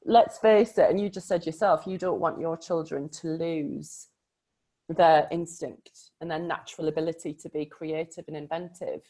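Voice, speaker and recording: A woman's voice: deep voice, fairly even intonation, moderate pace, mellow. A woman coach in a casual conversation about a book, speaking reflectively and with emphasis, often laughing.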